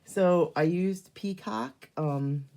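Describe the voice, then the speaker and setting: A hoarse middle-aged woman chatting casually through a live card-making demonstration, filling pauses with ums and greeting viewers as they join. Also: low voice, a little mellow.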